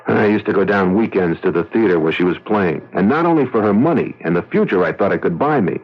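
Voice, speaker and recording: An actor with an American accent playing a self-serving young agency gopher in a radio drama, slangy and hard-boiled, with a husky voice. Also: male voice, fairly low pitch, animated, fast. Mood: wry, reminiscing. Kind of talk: 1970s radio drama acting. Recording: dull vintage radio recording.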